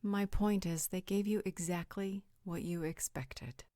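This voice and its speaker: A woman's voice: mid-range voice, fairly even intonation, a little slow, mellow, no room sound, clean studio sound, close to an everyday voice. A woman playing a perceptive, frank confidante in an audio roleplay, reasoning in a direct, insistent way. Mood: persuasive.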